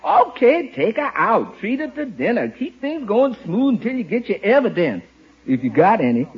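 A plain-spoken woman housekeeper, played by an actress in old radio comedy. She is folksy and frank, with a lively, swinging delivery. The recording is muffled and vintage. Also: mid-range voice, normal speed, slight background noise, close to an everyday voice. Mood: advising. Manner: folksy, deferential but frank. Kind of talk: 1950s radio situation comedy acting.

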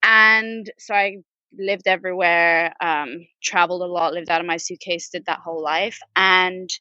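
A woman's voice: fairly low pitch, steady tone, normal speed, pushed and bright, dry recording. Chatty woman guest, dry dull sound. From Spain. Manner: chatty, rambling, with frequent ums and laughs. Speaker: podcast guest. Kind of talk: conversational interview.

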